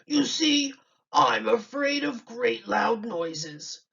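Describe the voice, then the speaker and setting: A woman voicing a big, gruff but sheepish lion in a children's storybook, with a gravelly roar in the voice. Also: a little high, expressive, drawn-out words, fairly bright tone, distant mic, heavily acted. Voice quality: gravelly, roaring. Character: big gruff lion afraid of loud noises. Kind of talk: children's storybook read-aloud. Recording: dull lo-fi recording.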